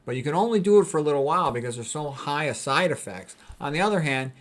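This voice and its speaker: A man's voice: a little high, animated, fast talker. A man gives an informal explanatory talk in the role of a doctor. His delivery is blunt and colloquial, full of conversational asides and firm opinions. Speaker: doctor giving a health talk. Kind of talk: informal explanatory lecture with commentary.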